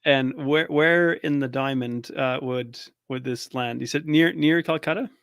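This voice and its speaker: A man's voice: mid-range voice, unhurried, a bit bright, clear, resonant tone, no room sound, studio quality. A man hosting a casual interview, asking curious, tentative questions and acknowledging the answers often. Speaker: podcast host.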